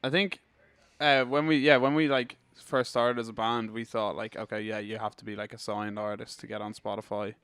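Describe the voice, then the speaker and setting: Young Irish man, clear and even-toned. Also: fairly low pitch, brisk. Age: young adult. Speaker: band member being interviewed. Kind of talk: casual interview chat.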